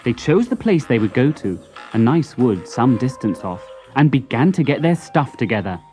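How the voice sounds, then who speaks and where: A woman reading a children's story expressively and deliberately, with dramatic pauses. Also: a bass voice, big pitch swings, dark tone, soft background music.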